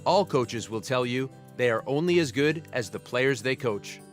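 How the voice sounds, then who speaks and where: A man's voice: medium pitch, lively intonation. Man narrating a tribute over music. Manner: measured, formal read. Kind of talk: scripted narration.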